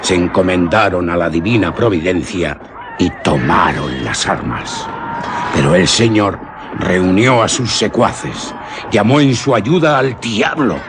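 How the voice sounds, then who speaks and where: A man with a husky, rough-edged voice, narrating a suspense story theatrically and deliberately in literary radio-theatre style. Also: low-pitched, animated, noisy background.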